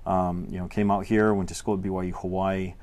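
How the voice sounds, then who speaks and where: A young man from Tonga, a state legislator answering interview questions in a halting way, full of fillers and restarts. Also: low voice, level tone, a little mellow. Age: young adult. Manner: hesitant, many fillers and restarts. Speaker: legislator guest.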